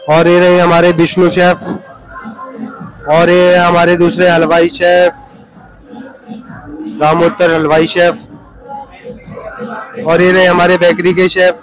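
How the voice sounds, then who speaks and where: A man with a rather high voice and a flat, even delivery. He talks informally, like a vlogger showing people around. He is recorded on a handheld phone in a large indoor venue with music playing behind him. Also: low-quality recording. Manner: informal, introducing and pointing things out. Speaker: chef vlogging his hotel restaurant. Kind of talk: casual vlog walk-through. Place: large indoor hotel banquet restaurant. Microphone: handheld phone behind the camera. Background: loud music.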